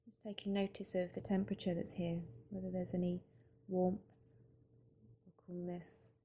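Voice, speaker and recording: Very mellow woman guiding a meditation. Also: lowish voice, husky. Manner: calm, unhurried, gentle instruction with long pauses. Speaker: meditation guide. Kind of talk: slow guided mindfulness meditation narration.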